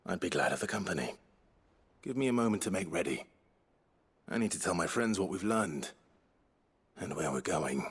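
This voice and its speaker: A very husky, low-voiced brooding warrior. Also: male voice, expressive, normal speed, long pauses, full character voice. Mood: determined. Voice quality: husky, gravelly rasp. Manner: low-key, terse, earnest. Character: brooding, resolute fantasy warrior leader. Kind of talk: scripted fantasy game dialogue.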